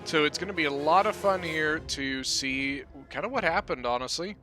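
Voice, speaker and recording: A man hosting his gaming channel, giving relaxed, chatty commentary on a battle replay, peppered with "you know" and "uh". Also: fairly high pitch, moderate pace, faint music behind.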